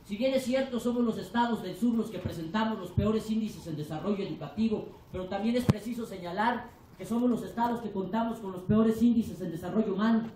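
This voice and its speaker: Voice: medium pitch, fairly quick, dark tone, a little hiss or hum. Impassioned teacher, Mexican accent, echoey hall. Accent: Mexican Spanish. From Chiapas, Mexico. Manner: declamatory, building rhetorical lists. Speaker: teacher and union delegate. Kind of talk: impassioned forum speech. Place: large echoey lecture hall. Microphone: distant from the recording mic. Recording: echoey hall recorded from far off.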